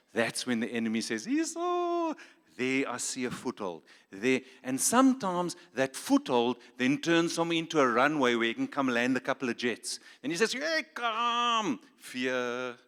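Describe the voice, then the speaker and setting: South African pastor preaching in a reverberant church. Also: male voice, mid-range voice, a bit bright. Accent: South African English. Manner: emphatic, rhetorical questions, switches to Afrikaans for jokes. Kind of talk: sermon. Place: large church hall.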